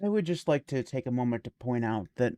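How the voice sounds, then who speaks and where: Rambling man, forty, smooth dry voice. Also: medium pitch, expressive, fast, warm tone, no room sound. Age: 40s. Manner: rambling, stumbling restarts, laughs easily. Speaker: podcast co-host. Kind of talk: casual tech chat.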